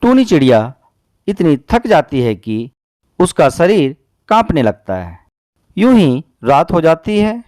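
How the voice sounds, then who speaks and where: Lively man narrating a children's story. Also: fairly high pitch, measured pace, dry recording, clean studio sound.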